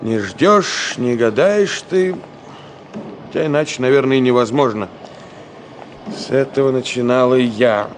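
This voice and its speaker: Man acting a gruff fatherly senior engineer, noisy recording. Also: mid-range voice, measured pace, long pauses, natural voice. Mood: stern, sarcastic. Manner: folksy, ironic, chiding with rhetorical questions. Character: gruff, fatherly older male senior engineer, scolding and teasing. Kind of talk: Soviet radio drama acting. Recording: noisy 1970 radio play recording.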